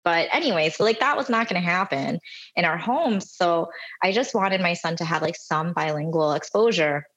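Husky-voiced chatty woman guest, fairly low. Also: expressive, normal speed, a bit bright, lo-fi sound. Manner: chatty, many "like" fillers, self-deprecating. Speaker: podcast guest. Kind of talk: casual conversational storytelling.

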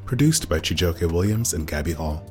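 A man's voice: sits low, animated, moderate pace, a little mellow, faint music behind. A man reading scripted end credits as an announcer.

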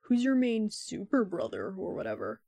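Woman acting a husky, flippant young sibling. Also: mid-range voice, huge pitch swings, moderate pace, mellow, dry recording, highs cut off, full character voice. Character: flippant, rambling young sibling, mock-clueless. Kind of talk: audio drama acting.

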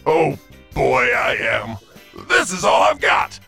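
A man plays an over-the-top game show host, reading from a script with theatrical flair. His delivery is bright and very animated but unhurried, and it often breaks into sing-song exclamations. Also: mid-range voice, creaky, husky, faint music behind. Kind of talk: zany scripted quiz show hosting.

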